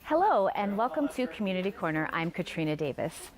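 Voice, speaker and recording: A woman's voice: mid-range voice, very animated, brisk, faint music behind. A woman hosting a local interview segment, asking questions and introducing her guest.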